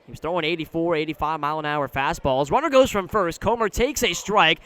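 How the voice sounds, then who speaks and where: Higher-pitched man calling baseball on radio. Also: fairly bright tone. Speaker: baseball radio play-by-play announcer. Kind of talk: live sports commentary. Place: live at an outdoor ballpark.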